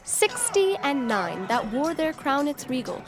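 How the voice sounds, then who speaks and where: A woman playing an armed prologue, a wry herald of war narrating Shakespearean verse in an audio drama in a measured, theatrical way. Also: sits high, big pitch swings, over music, full character voice. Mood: grave, portentous.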